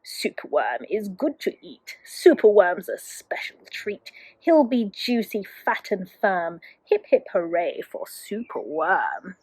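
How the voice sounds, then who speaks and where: A woman voicing a grim, scary storybook crow with a low, croaking, gloating delivery. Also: sing-song, takes it slowly, hardly any pauses, darkish tone, no room sound, exaggerated voice, low-quality recording. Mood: gloating menace.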